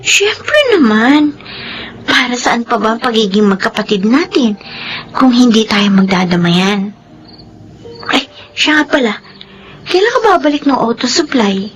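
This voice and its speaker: An actress in a Filipino radio drama playing an eager, caring older sister and devoted daughter with expressive delivery. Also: female voice, on the high side, a little slow, long pauses, a little hiss or hum. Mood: gentle, caring.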